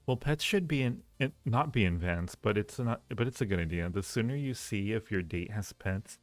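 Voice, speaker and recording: A man's voice: on the low side, animated, normal speed. A man hosting a livestream, chatting casually with viewers in a rambling way, often repeating phrases as he goes. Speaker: livestream host. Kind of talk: casual chat with live stream viewers, rating ideas. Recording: roomy echo, faint music behind. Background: faint music.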